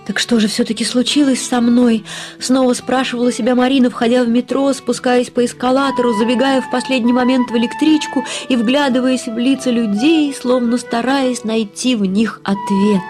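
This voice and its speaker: A woman narrates literary fiction in a fairly high voice. Her delivery is expressive and reflective, with deliberate phrasing. Music plays faintly behind her. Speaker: story narrator, actress.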